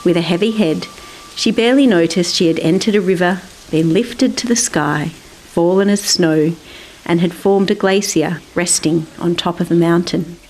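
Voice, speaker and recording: Lowish-voiced woman storyteller. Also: moderate pace, darkish tone, a little hiss or hum, low-quality recording. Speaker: author and storyteller. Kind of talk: scripted children's story narration.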